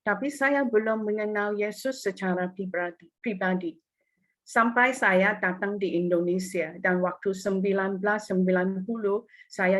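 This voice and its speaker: Hong kong lady sharing her testimony at church, earnest, pauses and restarts a lot. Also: medium pitch, a bit bright, poor audio. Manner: earnest, with hesitations and non-native phrasing. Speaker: testimony speaker.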